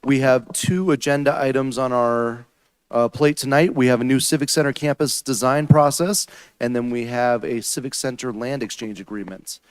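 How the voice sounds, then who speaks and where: Male, American, clear resonant voice with a dry, close sound. Mayor chairing formal proceedings. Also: mid-range voice, steady tone, moderate pace, no room sound. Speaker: mayor chairing a council session. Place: council chambers.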